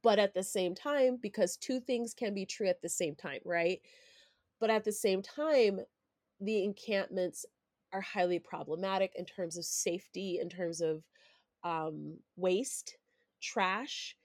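A woman's voice: mid-range voice, measured pace, a little mellow, no room sound. Congested woman from colorado, candid podcast talk, lots of ums, dry close mic. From Denver, Colorado. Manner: candid and reflective, frequent ums and restarts. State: stuffy, congested. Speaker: podcast host. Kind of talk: solo podcast monologue.

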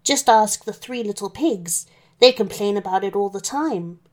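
A woman's voice: mid-range voice, normal speed, a little mellow, natural voice. A woman voicing a friendly, eager male wolf with a chatty, folksy manner in a children's story. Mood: hesitant, helpful.

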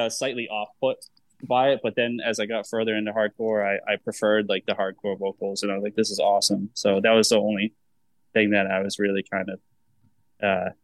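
Low-voiced man chatting, even and dry. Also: no room sound, low-quality recording. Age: 30s. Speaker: podcast host. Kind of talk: casual podcast chat.

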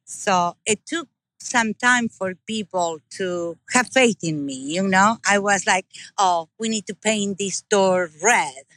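A woman's voice: medium pitch, takes it slowly, no echo. Enthusiastic Argentine-accented woman. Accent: Argentine Spanish. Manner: warm, enthusiastic, earnest. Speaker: interview guest, business owner. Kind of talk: casual interview talk.

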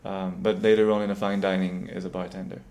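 Low, gravelly-voiced hesitant man. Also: flat delivery, quick pace, darkish tone. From Israel. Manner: hesitant, repeats words, self-deprecating. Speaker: company co-founder. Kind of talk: casual interview answers.

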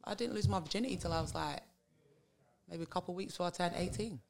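A young adult woman from England with a London accent, a podcast guest talking candidly and laughing through animated stories. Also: on the low side, quick pace, creaky. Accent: London English. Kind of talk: casual chat.

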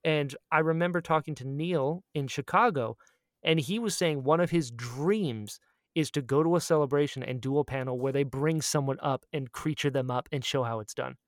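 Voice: medium pitch, moderate pace, dry recording, studio quality. A person chatting casually as a podcast co-host, telling stories with a fan's gushing enthusiasm and repeating phrases for emphasis. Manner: acts out quoted exchanges in storytelling.

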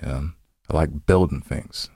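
A man's voice: rumbling low, normal speed, warm tone, husky, no echo, studio quality. A man co-hosting a podcast in casual banter, rambling informally and often repeating his phrases. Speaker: podcast co-host. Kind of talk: casual banter between co-hosts.

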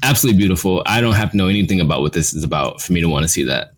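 A man's voice: low voice, animated, racing, slightly rough. A man co-hosting a casual podcast, speaking hesitantly with many ums and restarts. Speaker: podcast co-host.

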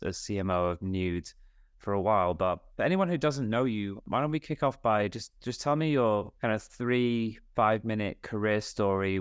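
A man's voice: fairly low pitch, clean tone, dry recording, clean studio sound. A man hosting a podcast who chats with a guest in an upbeat, friendly way and often repeats words. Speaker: podcast host. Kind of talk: casual interview chat.

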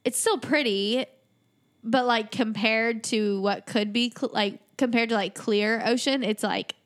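A woman's voice: on the high side, unhurried. Congested, nasal young woman chatting. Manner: bubbly, giggly banter. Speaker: podcast co-host. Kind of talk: casual podcast chat.

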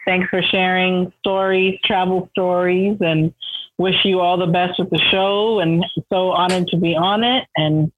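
A woman's voice: lowish voice, fairly even intonation, a little slow, slight background noise. Woman guest over a tinny call line. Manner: upbeat, laughs often. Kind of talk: remote interview.

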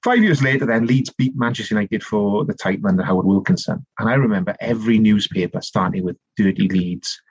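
A middle-aged man from South Wales with a Welsh accent, telling long animated stories as a podcast guest, full of restarts and asides. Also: fairly low pitch, fairly quick, hardly any pauses, husky, no echo, muffled recording. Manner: animated storytelling, rambling with many restarts and "you know". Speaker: guest, author and politician. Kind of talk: conversational podcast interview.

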